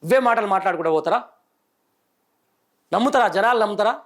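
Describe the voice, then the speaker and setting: A man's voice: sits high, lively intonation, brisk, a bit bright. A middle-aged man with a Telangana accent, hosting live political news commentary with emphatic, indignant rhetorical questioning. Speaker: news show host, journalist.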